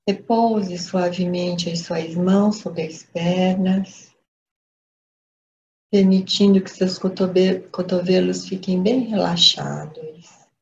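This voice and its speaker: Slow-paced Brazilian woman guiding meditation. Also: fairly low pitch. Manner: calm, gentle, measured instruction. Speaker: meditation teacher. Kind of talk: guided meditation instruction. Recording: dull lo-fi audio, background noise.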